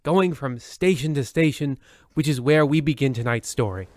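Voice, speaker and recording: A man's voice: mid-range voice, moderate pace, a little mellow, no room sound, clean studio sound, close to an everyday voice. A man playing the host narrator who introduces an old-time radio comedy mystery. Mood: storytelling.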